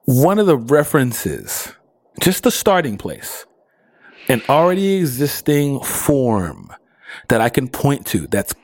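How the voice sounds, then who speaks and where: A man co-hosting a casual podcast, his pitch swinging widely as he fires off questions and laughs along the way. The sound is dry and close, with no room behind him. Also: takes it slowly, dry recording. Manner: animated, questioning, laughs often. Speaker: podcast co-host. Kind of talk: casual pop culture chat.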